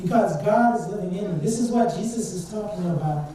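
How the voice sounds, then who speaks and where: Young man from Trinidad, high-voiced and mellow, giving an earnest student sermon; recorded from far off in a very echoey church. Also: brisk. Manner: earnest, conversational preaching with rhetorical questions. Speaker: student speaker at church service. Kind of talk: informal sermon. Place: church sanctuary. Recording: distant and very echoey church hall, faint noise.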